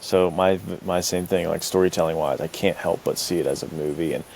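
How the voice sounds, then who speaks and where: A man's voice: sits low, fairly even intonation, a little fast, darkish tone. A man chatting casually as a podcast host, with an informal, slangy way of talking. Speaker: podcast host and fiction writer. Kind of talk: casual chat. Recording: clear background hiss.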